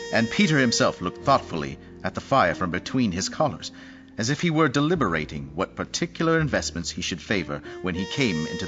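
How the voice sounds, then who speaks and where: A man narrating a Victorian story in a scripted audio play. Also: sits low. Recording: dull lo-fi audio with music behind.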